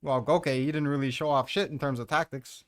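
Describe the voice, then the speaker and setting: A man hosts a casual ranking commentary in a mid-pitched voice. He is blunt and opinionated, ranting with open exasperation and peppering his talk with swearing. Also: fairly even intonation, brisk. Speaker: host of a manga ranking commentary.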